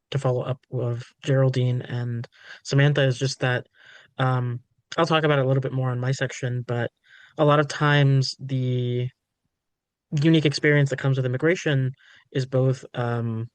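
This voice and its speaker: Man panelist, hesitant, fillers, dry close recording, mid pitch. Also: steady tone, normal speed, no echo. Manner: hesitant, fillers like "you know, like". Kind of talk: panel discussion.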